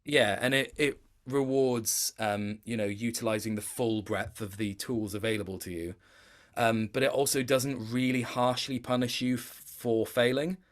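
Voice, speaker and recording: A man's voice: medium pitch, fairly even intonation, normal speed. A man talking casually as an interview guest, thoughtful, hesitant and a little self-deprecating. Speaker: interview guest, video game voice actor. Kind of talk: casual chat.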